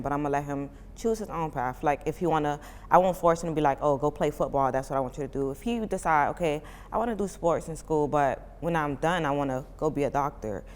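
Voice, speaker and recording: A woman's voice: low-pitched, in a large hall. A woman in her twenties from Miami, a parent giving casual interview answers in a chatty way, full of "like" fillers. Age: 20s. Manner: chatty, many "like" fillers, relaxed informal speech.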